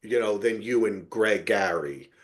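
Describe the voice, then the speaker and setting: A man hosting a casual TV discussion podcast, rambling and halting, often checking in with listeners and swearing casually. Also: lowish voice. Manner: rambling, halting, checks in with "right?" and "you know". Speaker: podcast host.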